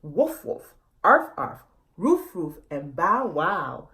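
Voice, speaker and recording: Woman acting barking animal family, children's storybook, loud, rhythmic chant, very animated pitch. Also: takes it slowly, full character voice. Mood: urgent barking.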